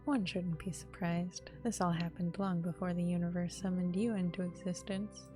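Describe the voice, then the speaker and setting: Lowish-voiced woman playing a visual novel. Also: normal speed. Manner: informal, expressive. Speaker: game streamer. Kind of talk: casual gaming commentary and reading narration aloud. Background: game music.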